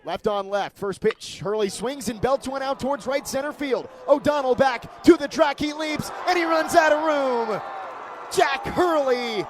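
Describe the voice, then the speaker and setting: A man calling live sports play-by-play in punchy, crisp announcer phrasing. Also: very high voice, measured pace, crowd noise, noisy background.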